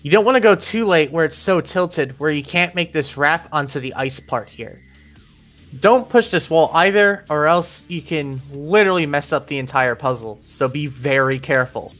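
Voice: mid-range voice, animated, normal speed, fairly bright tone. Game walkthrough narrator with music behind. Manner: instructional, step-by-step. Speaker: video game guide narrator. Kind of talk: scripted game walkthrough commentary. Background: background music.

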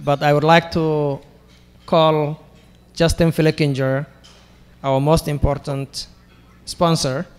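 A man hosting a ceremony, announcing sponsors in a slow, even manner into a handheld mic. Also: fairly high pitch, clear, resonant tone. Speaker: event host. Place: large hall. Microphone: handheld microphone. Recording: echoey hall, heard from a distance.

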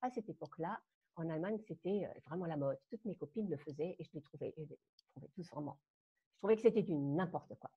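Brief: woman in her fifties, German accent, husky low voice, animated guest on a muffled webcam call. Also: fast. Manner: animated, laughs between phrases, frequent hesitations. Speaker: guest dance teacher. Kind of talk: personal storytelling on a live call. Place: home room. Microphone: webcam on a video call. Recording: muffled video call audio.

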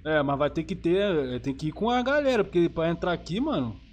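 A man's voice: on the high side, big pitch swings, brisk, darkish tone, slight background noise. A Brazilian man giving casual, enthusiastic gameplay commentary full of slang, speaking into a gaming headset. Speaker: streamer, host of the channel.

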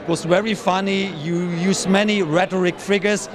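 Male event reporter, middle-aged, native German, high voice, busy crowd chatter around him. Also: fairly even intonation, moderate pace. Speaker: interviewer and reporter. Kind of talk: on-site event interview. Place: busy hotel convention lobby. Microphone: handheld mic with furry windscreen.

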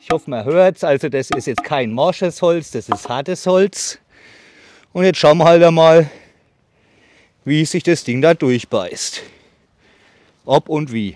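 A man's voice: a little high, a little mellow, soft background music. Chatty man, slightly winded, sawing outdoors. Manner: chatty, filler-heavy, pauses while sawing. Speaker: bushcraft channel host. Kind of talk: casual product demo. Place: outdoors in a leafy meadow by trees. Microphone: far camera on a tripod.

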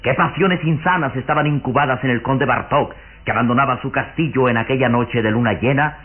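A man's voice: mid-range voice, fairly quick, close to an everyday voice. A man narrating a gothic radio serial in an ornate, solemn, suspenseful vintage style. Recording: phone-like vintage radio recording, music behind.

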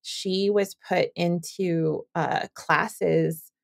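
A woman's voice: fairly low pitch, steady tone, extremely slow, creaky. A woman from Southern California, hosting a podcast and chatting in a rambling, thinking-aloud way. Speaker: podcast host. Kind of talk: casual interview chat.

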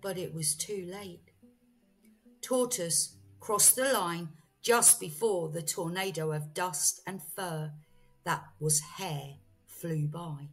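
A woman storyteller reading a children's bedtime story aloud in an expressive, deliberate way. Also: on the low side, husky. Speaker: storyteller, teacher. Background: faint music.

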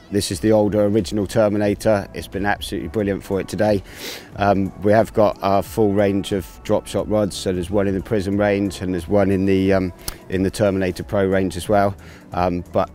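A man in his thirties on a grassy riverbank outdoors, explaining his fishing tackle step by step in a relaxed way, with plenty of ums, into a lapel mic. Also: on the low side. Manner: relaxed, explains gear step by step with many fillers. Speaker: angling presenter.

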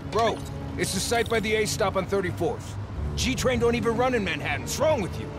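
A man's voice: sits high, lively intonation, a little fast, over music. An actor playing a bossy, irritable male mob thug in a video game, barking scornfully at his crew. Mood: irritated, scornful.

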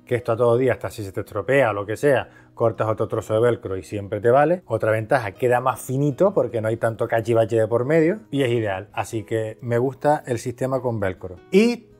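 A man's voice: mid-range voice, fairly quick, hardly any pauses, darkish tone, soft background music. A man from Spain giving a casual, conversational product review, explaining things by asking and answering his own questions. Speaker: video host, product reviewer.

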